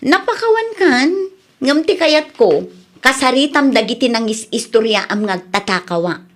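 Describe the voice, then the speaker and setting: A woman's voice: fairly high pitch, lively intonation, moderate pace, lightly acted. A woman with an Ilocano Filipino accent acting a wronged, assertive young village woman in a radio drama. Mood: firm.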